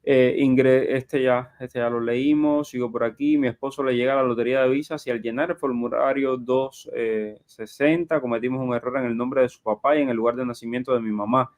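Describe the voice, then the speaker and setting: A man's voice: medium pitch, fairly even intonation, fairly quick, few pauses, darkish tone. A man hosting a live question-and-answer show, reading audience questions aloud in a conversational way. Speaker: live show host and journalist.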